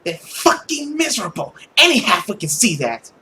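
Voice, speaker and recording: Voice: medium pitch, big pitch swings, normal speed, bright, forceful tone, slight background noise, voice pushed up. A performer voicing a hot-tempered, brash sixteen-year-old girl in an emphatic, indignant rant. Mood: furious.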